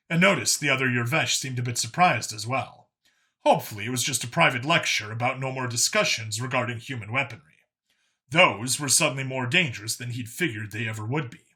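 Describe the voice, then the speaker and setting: A man's voice: mid-range voice, pushed and bright. A man narrating scripted fiction as an audiobook reader.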